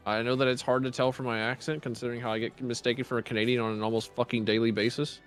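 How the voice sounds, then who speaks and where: A laid-back man from the American South talks casually with friends on an online voice call while they play. His pitch sits in an ordinary middle range, and he explains his views with everyday examples. Faint game music plays underneath. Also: level tone, very fast. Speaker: gamer on a group voice call. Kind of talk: casual chat while gaming.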